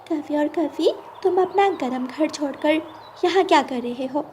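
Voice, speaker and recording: A woman voicing a kind mother sparrow in a children's cartoon, speaking softly and patiently. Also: high-pitched, moderate pace, slight background noise, voice pushed up, lightly acted. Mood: curious. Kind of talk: Hindi children's cartoon character voice.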